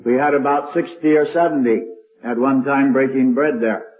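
A man's voice: medium pitch, fairly even intonation, moderate pace. An older man preaching with a strong, carrying voice, telling stories in an old-time sermon. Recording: tinny lo-fi archival recording.

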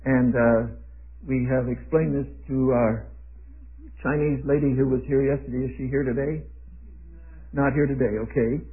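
Husky old preacher on a tinny recording. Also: male voice, medium pitch, steady tone, moderate pace, long pauses. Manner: emphatic, poses questions for the audience to answer. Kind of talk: sermon.

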